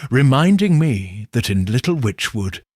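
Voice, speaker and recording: A man narrates a mystery audiobook at a slow, deliberate pace. His pitch moves expressively, his tone is a little husky, and the recording is dry and close. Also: big pitch swings, darkish tone, dry recording. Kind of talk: scripted mystery narration in first person.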